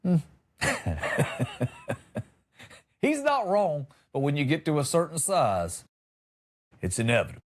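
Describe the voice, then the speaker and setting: A man's voice: on the high side, very animated, takes it slowly, long pauses. A middle-aged American man hosting a comedy podcast, riffing in a wry, exaggerating monologue and acting out lines. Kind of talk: humorous monologue on news stories.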